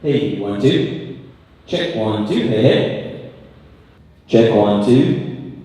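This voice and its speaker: A man's voice: on the low side, lively intonation, warm tone. A man doing a microphone sound check, calling out short, spaced-out test words. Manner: slow, spaced-out test calls. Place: large reverberant event venue. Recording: very echoey distant hall, faint noise.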